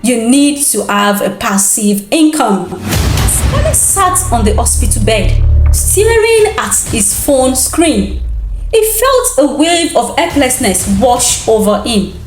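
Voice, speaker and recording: A woman storyteller delivers a scripted tale with expressive care. Her voice sits fairly high and she takes her time. The room adds noticeable echo around her. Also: takes it slowly, soft background music. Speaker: storyteller, host. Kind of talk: scripted dramatic folktale narration.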